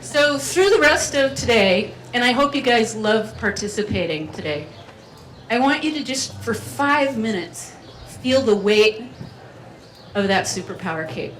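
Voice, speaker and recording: A woman's voice: a little high, expressive, measured pace, a faint crowd, a little hiss or hum. A woman giving a playful, chatty keynote talk at a community festival, drawing the audience in. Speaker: festival speaker. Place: community event venue. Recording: roomy hall sound from far off, faint ambience.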